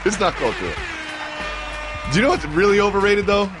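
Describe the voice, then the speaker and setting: American guy doing sports radio banter, kinda high voice, really animated and emphatic, faint music behind. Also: quick pace, crowd noise. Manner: emphatic, repeats himself, peppers co-host with questions. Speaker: sports talk show co-host.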